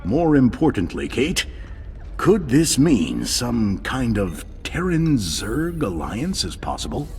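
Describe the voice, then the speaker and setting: An actor playing a pompous, excitable male TV news anchor in a melodramatic, theatrical parody newscast. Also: mid-range voice, slow pace, slightly rough, full character voice. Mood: smugly dramatic. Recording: loud music behind the voice.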